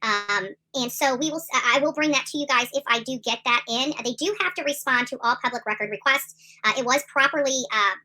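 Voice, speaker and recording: Fast, bright-voiced woman livestreamer. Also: high-pitched, rough recording. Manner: rambling, emphatic, many fillers and restarts. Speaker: YouTube commentary host. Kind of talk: casual livestream commentary.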